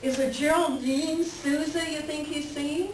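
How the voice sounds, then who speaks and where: A woman's voice: high-pitched, steady tone, measured pace, darkish tone. A woman playing a caring, sympathetic friend and neighbor in a staged play reading. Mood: soothing, indignant. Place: library room reading before an audience. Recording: echoey room, distant mic, faint noise.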